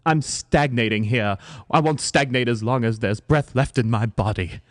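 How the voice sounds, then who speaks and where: A man's voice: mid-range voice, normal speed, no echo, studio quality, natural voice. A man playing a vain, melodramatic retired stage actress mother with a British accent, in an amateur read-through of a comedy. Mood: grand, wistful. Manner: grandiose, melodramatic delivery.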